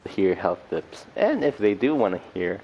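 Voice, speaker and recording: A man's voice: on the low side, big pitch swings, muffled recording, slight background noise. A man giving casual livestream gameplay commentary, rambling and often correcting himself. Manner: halting, rambling, self-correcting. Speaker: livestream host. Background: faint game music.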